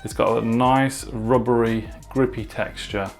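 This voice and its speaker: A man's voice: mid-range voice, steady tone, a little slow, faint music behind. A man talking casually through a product unboxing and review in an informal way, with short asides.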